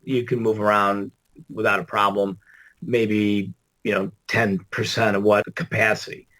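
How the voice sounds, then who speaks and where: A hoarse, gravelly middle-aged man, worn out after an all-nighter, chatting as a podcast guest with lots of fillers and laughs. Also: fairly low pitch, little pitch movement, a little slow, bright, forceful tone, poor audio. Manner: rambling, laughs often, many fillers. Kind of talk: casual interview chat.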